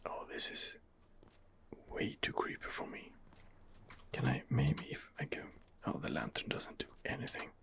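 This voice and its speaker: Man, whispered ASMR gaming commentary, creaky voice, hesitant muttering, extremely varied intonation. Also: very deep voice, steady tone, measured pace, fairly bright tone. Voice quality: whispery, breathy delivery. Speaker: gaming video host. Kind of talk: ASMR game playthrough commentary.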